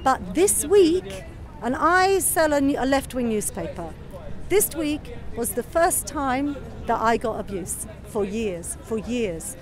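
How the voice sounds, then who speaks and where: A woman's voice: high voice, very animated, slow pace, soft background music. A woman activist speaking earnestly in a street interview. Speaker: anti-racism activist. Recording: crowd noise outdoors.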